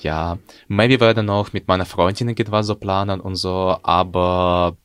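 Young man, low voice, Ukrainian accent. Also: steady tone, fairly bright tone, clear, resonant tone, no room sound, studio quality. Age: young adult. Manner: halting non-native phrasing with English words mixed in. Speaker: student. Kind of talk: casual chat.

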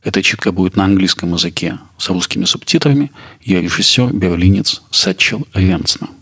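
A male podcast host delivers scripted narration. His voice is deep, with a lot of creak and a husky, rough edge. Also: brisk, a bit bright.

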